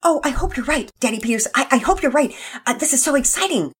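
A high, bubbly little girl rushing through her words and tripping over them, as a woman reads her part. Her voice leaps up and down with eager, lively energy, and a slight huskiness runs under it. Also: husky. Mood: excited. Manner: fast, stumbling over words. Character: excitable chattering young girl.

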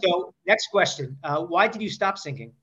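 A man in his forties with a fairly high voice hosts a comedic interview show. He jokes at his own expense as he banters. The recording sounds a bit dull and muffled. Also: expressive, normal speed, fairly bright tone, breathy edge. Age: 40s. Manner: self-deprecating, joking. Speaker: podcast host.

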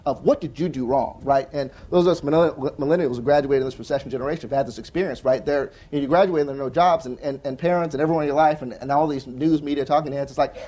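A young adult man on a conference panel, talking earnestly and fast with frequent restarts and "right?" tags. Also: a little high, mellow. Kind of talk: panel discussion answer. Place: conference hall with audience.

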